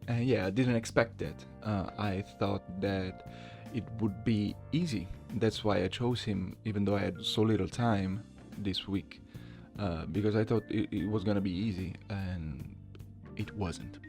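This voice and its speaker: A man with a lowish, gravelly voice talks casually to his video audience over faint guitar music. He is hesitant and self-deprecating, prone to giggling, and takes his time. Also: steady tone, unhurried, darkish tone. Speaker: voice challenge video creator. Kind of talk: casual commentary to viewers.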